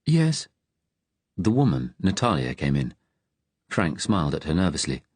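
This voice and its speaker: A man reading scripted audiobook narration in a measured, literary storytelling manner, close to the microphone. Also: rumbling low, animated, husky, dry recording.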